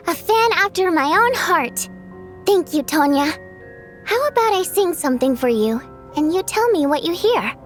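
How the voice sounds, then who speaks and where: A graceful female water spirit from a fantasy game, high in pitch. She is a wistful, kindly singer who speaks in measured, lyrical phrases. Soft music plays faintly behind her. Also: sing-song, full character voice. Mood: pleased, playful. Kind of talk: video game English dub acting.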